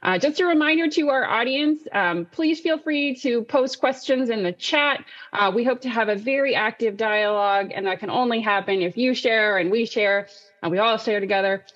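A woman's voice: on the high side, moderate pace, a bit bright, dull audio. A woman hosting an online panel, presenting in a semi-scripted webinar style. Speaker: panel host and moderator.

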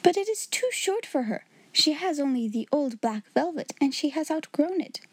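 A woman's voice: a little high, very animated, normal speed, mellow, no echo. A woman voicing a timid, easily bewildered, tearful sister in a storybook, stammering and whimpering. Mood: flustered. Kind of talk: storybook character voice.

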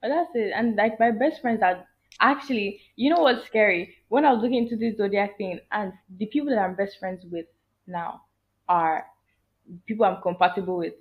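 A woman's voice: medium pitch, normal speed. A young woman guest on a podcast, chatting casually and acting out her own reactions. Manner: animated storyteller, quotes herself often. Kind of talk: casual chat. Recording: dull lo-fi audio.

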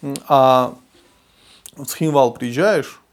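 A man's voice: medium pitch, takes it slowly. A man interviewing a guest, talking in a reflective, conversational way. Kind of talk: casual conversation.

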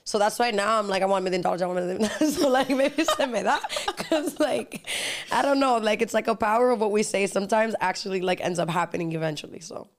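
A young woman guest talks at a quick clip in relaxed conversation. She fills her sentences with "like" and switches into Spanish phrases mid-thought. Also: mid-range voice. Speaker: guest, singer and actress. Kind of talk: casual interview chat.

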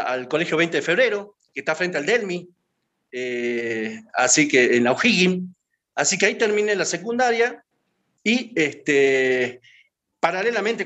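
A man's voice: a little high, a bit bright. A man, answering interview questions and reminiscing in a rambling way, with many hesitations and filler words. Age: 50s. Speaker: guest. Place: his home.

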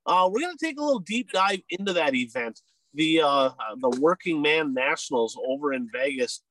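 A man's voice: a little high, expressive, moderate pace, fairly bright tone, rough recording. A man hosting a casual racing podcast, talking in a chatty, upbeat, rambling way, sounding nasal from a head cold. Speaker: podcast host.

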